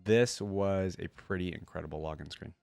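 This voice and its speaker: A man's voice: sits low, moderate pace, gravelly, a little mellow, no room sound, clean studio sound. A man giving casual, enthusiastic reaction commentary as a video host, speaking informally with slangy asides. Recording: music playing behind the voice. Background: game music.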